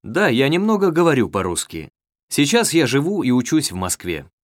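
A young man playing a polite student in a scripted textbook dialogue, reading slowly and clearly. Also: medium pitch, wildly swooping pitch, no room sound, clean studio sound. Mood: friendly. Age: young adult. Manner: slow, carefully clear reading. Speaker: dialogue actor in a listening test.

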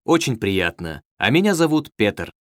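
A young man playing a polite student in a scripted textbook dialogue, reading slowly and clearly. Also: mid-range voice, very animated, no room sound, studio quality, lightly acted. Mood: friendly. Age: young adult. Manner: slow, carefully clear reading. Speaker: dialogue actor in a listening test.

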